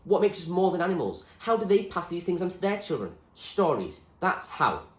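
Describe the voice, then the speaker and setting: Man playing an earnest, stubborn dreamer, highly animated. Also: normal speed, roomy sound. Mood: fierce, insistent. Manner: earnest, firm, insistent. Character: stubborn, idealistic, dreamy male friend, earnest and odd. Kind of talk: acted storybook dialogue.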